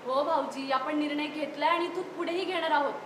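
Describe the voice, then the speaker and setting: A woman's voice: high voice, fairly even intonation, fairly quick, lightly acted. A woman playing a practical, argumentative young mother in a stage play, with emphatic projected delivery. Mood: reasoning, firm. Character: young mother and sister-in-law arguing practically. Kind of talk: stage play dialogue in Marathi. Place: indoor stage at a youth festival. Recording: roomy hall, distant stage pickup, faint noise.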